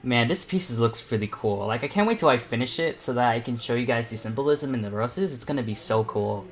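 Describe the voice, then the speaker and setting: A man's voice: mid-range voice, lively intonation, fairly quick. A young man hosting a casual painting livestream, rambling and thinking aloud as he works. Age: teens to young adult. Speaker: livestream host and self-taught painter.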